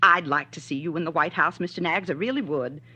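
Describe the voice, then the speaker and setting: A husky, fretful small-town shopkeeper. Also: female voice, deep voice, fairly quick, sharp tone, full character voice. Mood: earnest, flustered. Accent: American. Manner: folksy, homespun drawl. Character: fretful, gossipy, prejudiced small-town drugstore woman. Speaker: actor in a radio drama. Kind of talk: 1940s radio acting. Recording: vintage 1940s radio recording.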